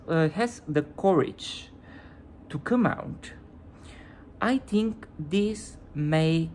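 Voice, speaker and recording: A woman with a Bulgarian accent, a native Bulgarian speaker, talking hesitantly to camera in a casual vlog and often pausing to search for words. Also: low-pitched, vocal fry, darkish tone, slight background noise. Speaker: YouTuber.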